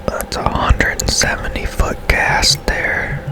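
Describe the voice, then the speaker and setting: A man speaking in a breathy, unvoiced whisper, giving casual, unhurried ASMR commentary as he plays a game. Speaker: gaming ASMR host.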